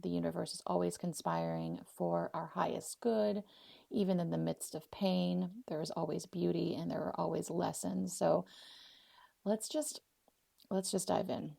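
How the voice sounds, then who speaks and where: American woman around 39 chatting on her own podcast, lowish voice, tons of fry, casual and effusive. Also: steady tone, measured pace, dark tone, dry recording, studio quality. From Arizona, US; born in Poland. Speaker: podcast host. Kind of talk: unscripted solo podcast, casual and personal.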